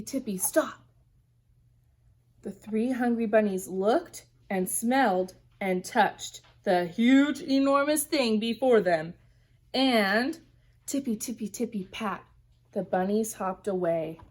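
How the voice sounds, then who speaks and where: A woman teacher reading a storybook aloud to young children in an animated way, pausing to ask them questions. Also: a little high.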